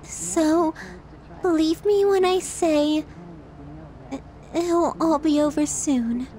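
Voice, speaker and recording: Slow, possessive lovesick yandere played by a woman. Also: high voice, noisy background. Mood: tender, confessional. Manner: intimate, slow, doting on a silent lover. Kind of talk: ASMR roleplay audio drama.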